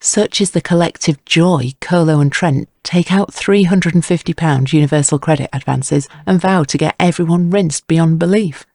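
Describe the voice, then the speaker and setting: Male narrator, scripted crime fiction. Creaky, mid-pitched voice, close dry sound. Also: normal speed, few pauses, a little mellow, dry recording. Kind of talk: scripted audio drama narration.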